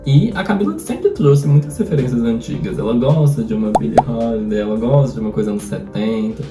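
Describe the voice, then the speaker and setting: A man's voice: mid-range voice, expressive, fairly quick. A Brazilian man chatting casually about books as a host, thinking aloud and often wandering off on tangents. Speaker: host of a book discussion. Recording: roomy echo, distant mic, faint music.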